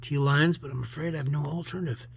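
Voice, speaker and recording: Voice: medium pitch, fairly even intonation, quick pace, creaky, breathy edge. A reader voicing a formal police inspector in a comic book story, polite and official. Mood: resigned, official.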